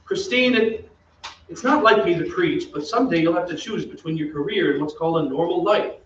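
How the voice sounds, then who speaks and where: An earnest gentleman storyteller acted by a man in an old-time radio play. He has a fairly high voice and sounds reflective, picked up from a distance on a roomy stage. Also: fairly quick, close to an everyday voice. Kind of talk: radio drama narration and dialogue. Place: theatre stage, live reading. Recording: echoey stage, distant mic, muffled, hiss.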